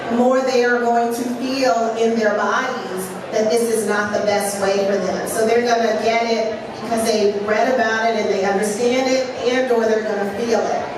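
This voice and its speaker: A woman's voice: on the high side, steady tone, moderate pace. A woman speaking as a panelist, explaining her views earnestly and often checking in with "right?". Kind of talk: panel discussion. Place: large echoey event hall. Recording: roomy hall audio, distant mic, crowd noise.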